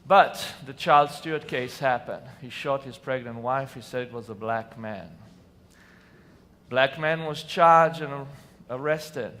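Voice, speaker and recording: A man's voice: mid-range voice, measured pace. Israeli man telling stories on stage. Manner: earnest, emphatic, with sudden loud bursts. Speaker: conference speaker. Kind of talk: personal storytelling talk on stage. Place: large hall on a lit stage. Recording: distant hall reverb, faint room noise.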